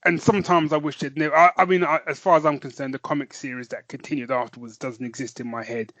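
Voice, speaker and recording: A man's voice: fairly high pitch, steady tone, quick pace, fairly bright tone, no room sound. A man co-hosting a podcast, chatting with rambling enthusiasm and a lot of "man". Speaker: podcast co-host. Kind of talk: casual chat.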